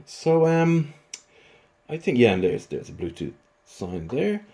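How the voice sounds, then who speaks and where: A man talking through a casual, unscripted product review as a reviewer, in a hesitant, halting way with repeated words. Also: mid-range voice, sing-song, mellow.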